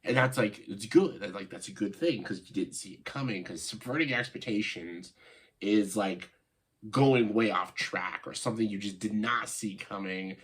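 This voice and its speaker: A man with a stuffed-up, nasal voice from a cold, giving casual, opinionated video commentary in a halting way, stressing key words and often saying "like". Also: lowish voice, fairly bright tone, husky. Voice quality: congested, nasal voice. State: congested, stuffed-up nose after a cold. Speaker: video host, film commentator.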